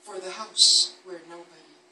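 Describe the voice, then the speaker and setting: A woman reading rhyming poems aloud at an event, with a measured, dramatic storytelling delivery. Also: fairly low pitch, steady tone, pushed and bright. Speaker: poet reading at a book launch. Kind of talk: recited rhyming poetry reading. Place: indoor book launch event. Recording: echoey room, distant mic, faint noise.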